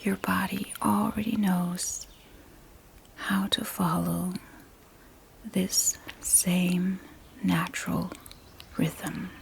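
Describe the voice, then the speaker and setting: A woman softly guiding a sleep meditation in a breathy ASMR whisper, soothing and gentle, with long pauses between short phrases. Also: fairly low pitch, level tone, slight background noise, low-quality recording. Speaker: meditation guide.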